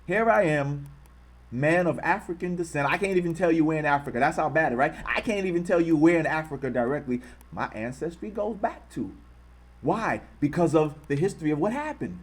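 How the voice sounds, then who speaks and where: Fervent American male host, livestream, fairly high-pitched voice, fires off repeated rhetorical questions. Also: expressive, fairly quick. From United States. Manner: impassioned, repetitive rhetorical questions; greets and thanks live viewers in a softer tone. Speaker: online channel host. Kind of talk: live-streamed commentary.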